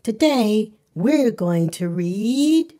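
A woman voicing a friendly furry animal puppet, reading a storybook to young children with cheerful, deliberate, singsong enunciation over music. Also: mid-range voice, lively intonation, mellow. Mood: cheerful, welcoming. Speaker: children's read-aloud host. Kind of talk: slow storybook read-aloud for young children. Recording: music under the voice.

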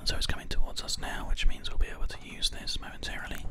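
A host giving calm, unhurried whispered ASMR commentary while gaming, close on a binaural microphone. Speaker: host and gamer narrating play. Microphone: close binaural microphone, ear to ear. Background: keyboard typing and mouse clicks.

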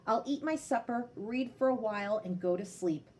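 A woman's voice: on the high side, normal speed, darkish tone, a little hiss or hum, natural voice. A woman voicing a kindly, modest old male shopkeeper in a storybook read-aloud, polite and hesitant. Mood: gentle.

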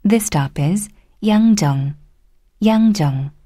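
A woman giving scripted subway announcements in a formal, deliberate way. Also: medium pitch, expressive. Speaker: English-language subway announcer. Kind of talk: scripted public transit announcement.

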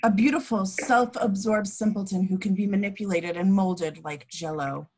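A woman's voice: mid-range voice, moderate pace, a little mellow, slightly rough, close to an everyday voice, poor audio. A woman playing a cold, curt, scornful henchwoman in an amateur comedy read. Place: home, on a group video call.

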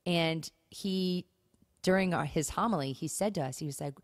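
A woman's voice: on the low side, moderate pace, no echo, studio quality. A woman co-hosting a conversational advice podcast, speaking in an earnest, emphatic way and repeating key phrases. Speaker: podcast co-host.

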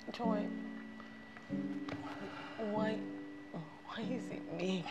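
A woman's voice: mid-range voice, close to an everyday voice. A woman acting a heartbroken young woman who stammers and pleads about her failed relationships. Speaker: heartbroken friend. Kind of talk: skit drama acting.

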